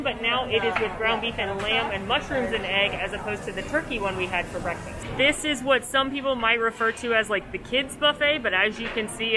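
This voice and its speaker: A young woman with an upbeat, gushing, chatty manner, giving a casual food review as a vlogger. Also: on the high side. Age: young adult. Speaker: food and theme park vlogger. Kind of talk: enthusiastic casual vlog review and food tour. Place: large buffet restaurant, indoors. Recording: busy restaurant crowd noise. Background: crowd chatter.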